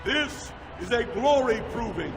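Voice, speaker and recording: A man's voice: very high-pitched, lively intonation, unhurried, heavily acted. A man playing a grand, solemn dwarf announcer in a fantasy game, speaking in a formal, declamatory way. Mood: solemn, proclaiming. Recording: music behind the voice.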